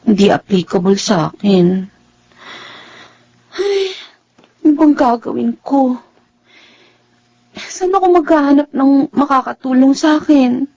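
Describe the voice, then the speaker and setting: Radio drama role: trusting young Filipina student, stubborn and hopeful, high girlish voice. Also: lively intonation, a little slow, long pauses, mellow, dull audio. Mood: worried, musing.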